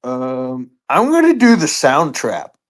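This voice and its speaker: A woman's voice: a bass voice, wildly swooping pitch, takes it slowly. A woman chatting casually as a player in a tabletop game, hesitantly thinking aloud. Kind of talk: casual chat.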